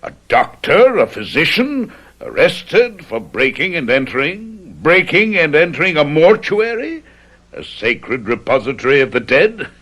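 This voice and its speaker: An actor playing a cruel, pompous English aristocrat in an old radio drama, sarcastic and menacing. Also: male voice, a little high, very animated, measured pace, a bit bright, full character voice. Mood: sneering threat. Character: cruel, gloating English aristocrat husband with a gun.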